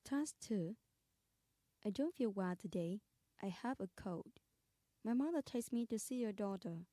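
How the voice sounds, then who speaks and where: A woman's voice: mid-range voice, animated, a little slow, warm tone, no echo, studio quality. A woman reading beginner English textbook exercises in a plain, careful way. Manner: plain, careful dictation of items. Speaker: English listening-practice reader.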